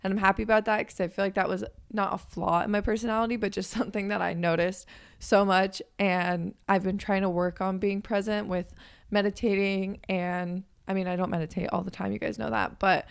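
A hoarse young woman in her twenties hosting a podcast in a chatty, upbeat way, her voice raspy from just waking up. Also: medium pitch, level tone, fairly quick. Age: 20s. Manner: chatty and upbeat, with frequent fillers. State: hoarse from waking up and a weekend of talking. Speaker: podcast host and personal trainer. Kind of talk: casual chat.

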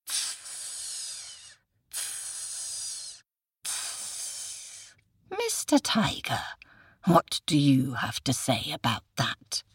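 A woman's voice: a bass voice, wildly swooping pitch, slow, breathy edge, no echo, clean studio sound, exaggerated voice. Woman as a hissing, whispery, sinister cobra. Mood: cool, sinister.

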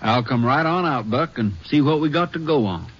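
An actor playing an easygoing small-town sheriff with a folksy rural Western American accent in a 1950s radio western. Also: male voice, mid-range voice, animated, fairly bright tone, natural voice. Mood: friendly, obliging. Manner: folksy, unhurried, obliging. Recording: muffled, hissy 1950s radio recording.

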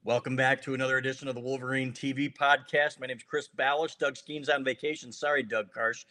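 A man's voice: medium pitch, level tone, a little fast, pushed and bright, faint music behind. Teasing male sports podcast host. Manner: teasing, joking. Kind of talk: casual sports chat.

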